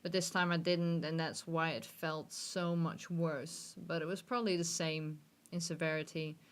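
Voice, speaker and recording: A woman's voice: low voice, flat delivery, moderate pace. A woman in her thirties talking openly and earnestly to the camera in a personal vlog, seated in a gaming chair in a dim room, with self-deprecating laughs and false starts. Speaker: vlogger.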